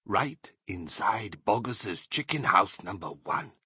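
A man's voice: medium pitch, wildly swooping pitch, measured pace, bright, forceful tone, slightly rough, dry recording, clean studio sound, pitched above the performer's own voice, exaggerated voice. A man voicing a sly, courteous fox father in a children's storybook, suave and savouring his words. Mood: conspiratorial.

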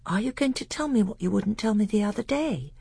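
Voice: medium pitch, lively intonation, brisk, gravelly, darkish tone, breathy edge, no room sound, voice pushed up, heavily acted. A storybook reader voicing a polite young boy prince with an earnest, eager manner. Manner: earnest, eager, awestruck questioning. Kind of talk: audiobook character voice.

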